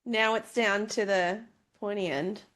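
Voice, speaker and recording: Brief: female podcast host, mid-pitched voice, playful book-club banter with some scripted narration. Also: steady tone, unhurried, a bit bright. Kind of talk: casual book review chat with scripted sign-off.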